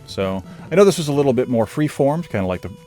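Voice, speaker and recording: A man's voice: medium pitch, fast, soft background music. A man giving a casual, rambling film review, self-deprecating and loose, with plenty of fillers. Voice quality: says his own voice is normally monotone. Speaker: movie reviewer.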